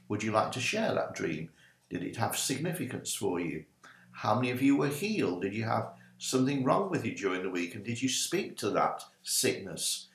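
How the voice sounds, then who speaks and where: A man's voice: medium pitch, creaky, roomy sound. An older man, a minister, giving an ad-libbed teaching talk to a webcam in a small home study, gesturing as he talks. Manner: animated, gesturing with both hands, ad-libbed. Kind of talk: informal teaching talk to camera.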